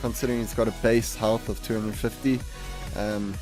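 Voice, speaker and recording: A man hosting a gaming video with casual, rambling commentary, halting and correcting himself as he goes. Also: fairly low pitch, level tone, over music, low-quality recording. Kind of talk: casual game showcase commentary. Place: voice-over on game capture.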